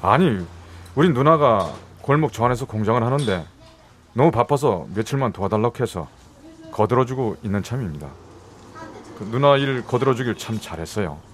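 An actor playing a forward, friendly young suitor in a radio drama, chatty and eager, with a Busan Gyeongsang accent. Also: male voice, mid-range voice, expressive, normal speed, slight background noise, natural voice. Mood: warm, chatty.

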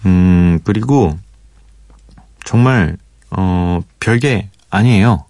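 A man hosting a late-night radio show, talking in a relaxed, thoughtful way with pauses and fillers. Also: deep voice, no room sound, clean studio sound. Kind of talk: late-night radio talk, reading listener letters. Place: radio studio. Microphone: studio microphone.

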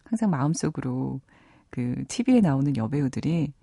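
A woman's voice: a bass voice, expressive, normal speed, vocal fry, mellow, slightly rough, dry recording. A woman in her thirties from South Korea hosting a radio program, moving between scripted narration and chatty talk, close on a studio microphone. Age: 30s. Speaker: radio host of a movie program.

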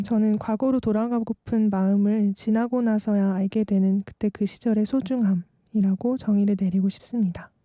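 Soft-spoken young woman DJ, dark tone. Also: mid-range voice, no echo, clean studio sound. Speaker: radio DJ. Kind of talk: late-night radio DJ script.

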